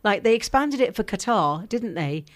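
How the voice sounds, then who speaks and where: She is a woman presenting a radio show from a studio. Her voice sits at a medium pitch. She talks casually within a group and keeps turning to the others with questions. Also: animated, moderate pace.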